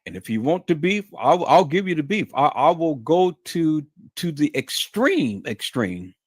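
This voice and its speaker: A man's voice: on the high side, big pitch swings, unhurried, breathy edge, no echo, clean studio sound. A man hosting a livestream, talking in a stern, emphatic, lecturing way to his viewers. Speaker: livestream host. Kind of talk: unscripted livestream monologue.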